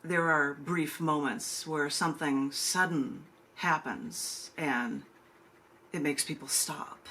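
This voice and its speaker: A woman hosting a virtual open mic, reading her poems and introducing them casually, with deliberate, emphatic phrasing and drawn-out pauses. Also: sits low, bright, forceful tone, a little hiss or hum. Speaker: open mic host and poet. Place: virtual open mic over video call.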